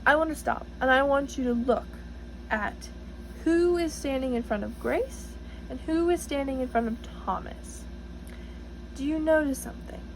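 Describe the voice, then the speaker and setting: A woman reading a storybook aloud in an expressive, teacherly way, speaking directly to young listeners and asking them questions. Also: high voice, moderate pace, slight background noise, low-quality recording. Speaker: reader for a children's read-aloud.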